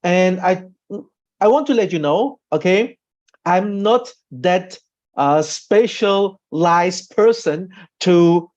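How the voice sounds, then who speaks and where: A man from Austria who is not a native English speaker gives an informal online training talk, haltingly, repeating himself and often checking with "okay?". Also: high voice, animated, a little mellow. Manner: halting, repetitive, often adds a questioning "okay?". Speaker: presenter and trainer, a doctor. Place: online video call. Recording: dull, lo-fi online call audio.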